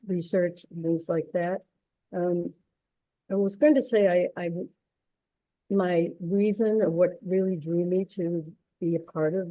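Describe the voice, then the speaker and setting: A woman's voice: lowish voice, dark tone, no room sound, low-quality recording. A woman from upstate New York introducing herself, earnest and rambling, with restarts. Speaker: animal communicator. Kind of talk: casual self-introduction.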